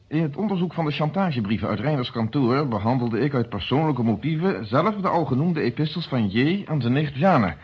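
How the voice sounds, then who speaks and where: An actor playing a male police inspector in a radio play, husky, formal and pointed, coolly interrogating with a sardonic edge. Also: medium pitch. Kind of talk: Dutch radio play dialogue. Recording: dull, lo-fi old radio recording.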